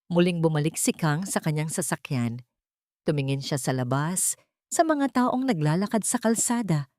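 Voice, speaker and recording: A woman's voice: deep voice, a little mellow, no room sound, clean studio sound. A woman with a Filipino accent narrating a scripted dramatic story.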